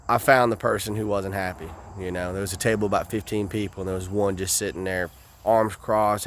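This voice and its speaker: A man's voice: on the low side, slight background noise. A man with a Southern US accent, a traveling musician chatting in an easygoing, rambling way for his video diary. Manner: easygoing, rambling with small restarts.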